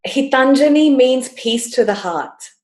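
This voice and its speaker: A woman's voice: high voice, animated, a little slow, a little mellow. Friendly woman host in echoey room. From Australia. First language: Sinhalese. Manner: friendly, laughs easily, playful teasing. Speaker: podcast host. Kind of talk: casual interview.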